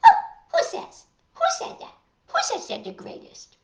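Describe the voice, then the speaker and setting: A woman voicing a cheeky tiny male chipmunk in a storytime read-aloud, squeaky and teasing. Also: measured pace, a little mellow, lightly acted. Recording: echoey room.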